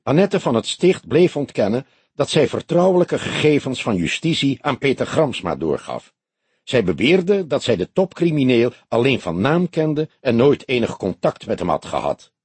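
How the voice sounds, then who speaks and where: A man reads as an older detective with a very husky, rough voice. He speaks in measured, formal phrases, calm and sceptical, in a dry, close audiobook sound. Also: mid-range voice, dry recording. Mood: resigned. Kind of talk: audiobook character dialogue.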